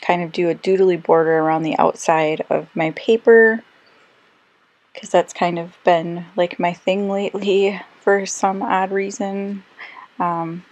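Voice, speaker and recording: A woman who is slightly hoarse and losing her voice, chatting casually through a crafting voice-over with lots of ums and little self-corrections. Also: fairly low pitch, fairly even intonation, measured pace, darkish tone, muffled recording. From Australia. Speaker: scrapbooking crafter. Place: quiet room, recorded voice-over.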